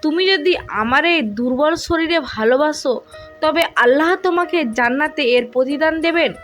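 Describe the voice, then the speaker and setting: Woman reading as shy devout young wife, gentle, polite and earnest, very high voice, romantic audio story. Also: moderate pace, faint music behind.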